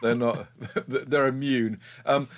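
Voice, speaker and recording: A man's voice: mid-range voice, steady tone, slow pace. A middle-aged man co-hosting a podcast, chatty and jokey with frequent ums. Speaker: podcast co-host. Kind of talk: casual chat.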